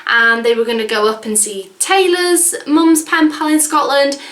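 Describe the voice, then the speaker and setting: A woman's voice: high-pitched, lively intonation, normal speed, a bit bright, echoey room. Chatty young woman vlogger. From Wales. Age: 20s. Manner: chatty, many fillers and "like"s. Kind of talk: casual chat.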